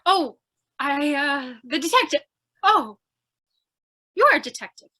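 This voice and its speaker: A woman's voice: sits high, sing-song, slow, bright, forceful tone, heavily acted. A woman playing a plucky, scatterbrained young newspaper reporter in an old-time radio comedy mystery, flustered and eager. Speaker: voice actor.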